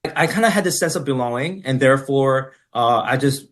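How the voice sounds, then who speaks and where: Middle-aged man, podcast guest, relaxed storytelling, lots of fillers, mid-pitched voice. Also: brisk. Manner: relaxed, many fillers and tag questions. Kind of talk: conversational storytelling.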